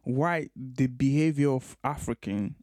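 A man talks through his thinking in an unhurried podcast conversation, circling back and repeating phrases as he reasons. His voice sits at a middling pitch, and the recording is dry and close, with no room sound. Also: darkish tone, no echo. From Nigeria. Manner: thoughtful, restarts and repeats phrases while reasoning. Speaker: podcast host and author.

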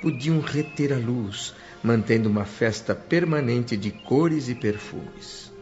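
An actor with a Brazilian accent narrating a radio drama as an emotional, reverent male spirit, solemn and expressive in a measured way. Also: mid-range voice, natural voice. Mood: awed. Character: emotional male spirit recalling a blissful afterlife, reverent and awed. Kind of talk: scripted first-person dramatic narration. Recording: slightly dull radio drama with music. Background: music.

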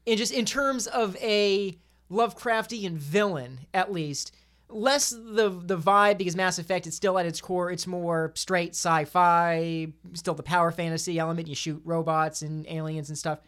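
A woman's voice: on the low side, fairly even intonation, unhurried, a bit bright. A woman chatting casually as a podcast panelist, often repeating words and restarting her phrases as she argues a point. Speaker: podcast panelist debating games. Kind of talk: casual chat.

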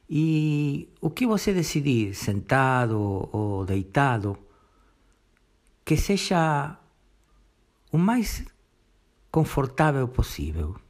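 Male meditation guide, medium pitch, very unhurried delivery, short instructional phrases separated by long pauses. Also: very animated.

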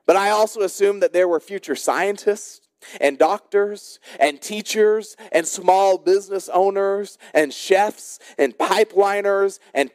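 An American man, a pastor, delivering a sermon in deliberate short phrases with rhetorical repetition. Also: high-pitched, darkish tone, smooth, no room sound. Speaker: senior pastor.

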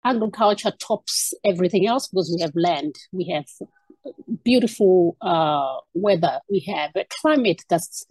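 A woman's voice: fairly low pitch, expressive, normal speed, darkish tone, breathy edge, dry recording. A woman in her fifties from Zimbabwe, a guest talking through her background with frequent ums and restarts. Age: 50s. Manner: hesitant, many ums and restarts. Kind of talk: casual interview conversation.

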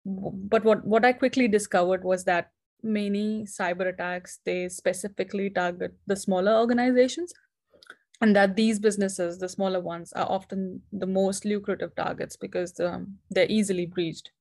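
A woman's voice: medium pitch, steady tone, moderate pace, darkish tone. Earnest woman from India. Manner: earnest, with frequent restarts and repeated words. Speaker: guest, founder of a cybersecurity company. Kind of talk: casual interview conversation.

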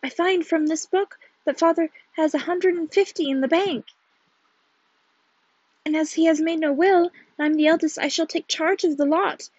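A woman's voice: sits high, animated, normal speed, no echo, muffled recording. A woman voicing a sulky, boastful, bad-tempered young man in a storybook, with a snarling, mocking manner. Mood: triumphant, boastful.

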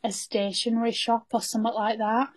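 A woman's voice: on the high side, level tone, fairly quick, darkish tone. A young woman co-hosting a podcast, chatting casually and earnestly with everyday northern English slang. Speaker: podcast co-host. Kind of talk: casual chat.

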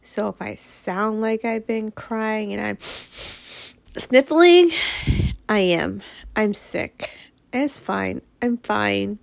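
A woman's voice: mid-range voice, animated, extremely slow, vocal fry, dry recording. A woman with a head cold, nasal and sniffling, hosting her podcast in a frank, blunt monologue that swears freely. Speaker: podcast host. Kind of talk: casual monologue.

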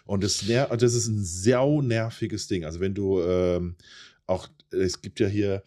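A man's voice: on the low side, fairly even intonation, a little slow, dark tone. A man co-hosting a podcast in casual chat, explaining at length with many hesitations and now and then repeating a word from a stutter. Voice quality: occasional repeated words from a stutter. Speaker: podcast co-host.

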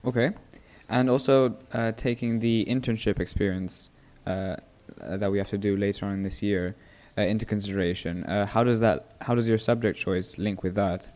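A man's voice: on the low side, steady tone, normal speed, few pauses, a little mellow. A teenage boy hosting a student podcast, asking questions casually with many uhs. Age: teens. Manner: friendly, filler-heavy questioning.

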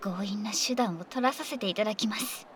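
A voice actress playing a possessive, politely formal female dragon maid in an ASMR audio drama. She talks in a soft, breathy, often whispered way. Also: mid-range voice, a little fast, a little hiss or hum, lightly acted.

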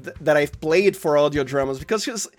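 Brazilian guy, voice a bit high, chatting in an interview, lots of halting restarts, faint music. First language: Portuguese. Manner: hesitant, halting with repeated word starts. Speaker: podcast guest, sound designer and voice actor. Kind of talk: casual interview chat.

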